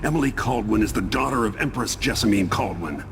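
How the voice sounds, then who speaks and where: A man's voice: on the low side, expressive, brisk, noisy background. An actor playing a stern, protective royal bodyguard in a video game, a man speaking tersely. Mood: defiant. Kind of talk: dramatic video game acting. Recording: music behind the voice.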